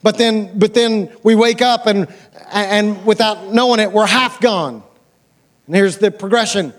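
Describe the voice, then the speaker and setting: A man's voice: high voice, a little slow. An American man preaching a sermon as a pastor, conversational and colloquial, repeating phrases for emphasis and putting questions to his listeners.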